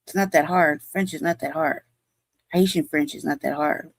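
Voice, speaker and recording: A woman's voice: low voice, steady tone, moderate pace, dry recording. A woman hosting a show, talking in blunt, profane, rambling commentary and laughing often. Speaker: show host.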